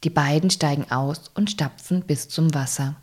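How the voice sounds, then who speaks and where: A woman's voice: deep voice, moderate pace. A woman narrating an audio drama from a script, describing scenes in the present tense.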